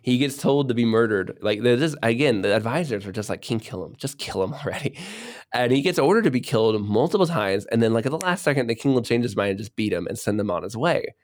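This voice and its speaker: A man co-hosting a podcast, telling a history story in a casual, lively way and voicing the people in it. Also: mid-range voice, fast, dry recording. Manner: animated retelling with voiced quotes and fillers.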